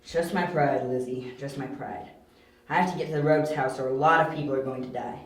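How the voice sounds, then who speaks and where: A man playing a clever, determined young detective in an amateur audio drama, wry and quick-witted. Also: medium pitch, steady tone, lightly acted. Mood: wounded, resolute. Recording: echoey room, amateur home recording.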